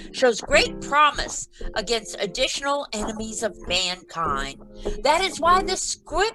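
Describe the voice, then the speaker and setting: A woman's voice: on the high side, low-quality recording. A woman playing an earnest 1940s network radio announcer, reading sponsor copy in a formal, declamatory way. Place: online video-call read-through. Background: music.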